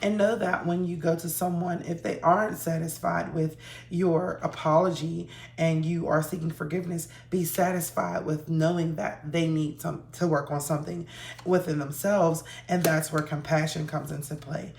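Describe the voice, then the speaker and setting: A hoarse woman giving a relaxed, chatty tarot reading to her viewers, with frequent ums. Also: sits low, moderate pace, roomy sound. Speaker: tarot reader.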